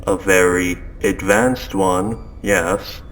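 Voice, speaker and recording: A man voicing a calm, possessive spaceship AI in an audio roleplay, speaking in a measured, formal, softly coaxing way. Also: fairly high pitch, vocal fry, exaggerated voice, poor audio. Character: calm, possessive spaceship AI turning quietly obsessive. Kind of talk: scripted audio roleplay monologue. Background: ambient sound effects.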